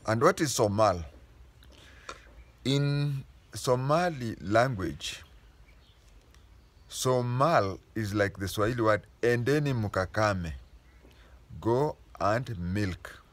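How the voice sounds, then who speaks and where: A man with a Kenyan accent talks through history like a patient storyteller. His voice sits fairly low. He pauses often, restarting phrases to set the record straight as he goes. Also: lively intonation. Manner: unhurried storytelling, stopping to restate and correct himself. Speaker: history explainer. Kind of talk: casual spoken history lesson.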